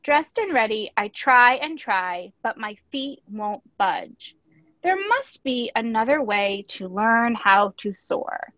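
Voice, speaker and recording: A woman's voice: on the high side, animated, slow pace, a bit bright. A woman reading a picture book aloud as a teacher, expressively, with instructive asides. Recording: echoey room, dull lo-fi audio.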